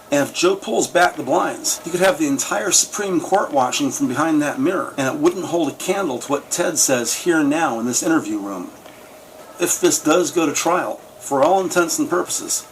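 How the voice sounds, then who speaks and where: A man's voice: on the high side, lively intonation, moderate pace, a little hiss or hum, low-quality recording. A man narrating a scripted crime audio drama with vivid, slightly wry storytelling.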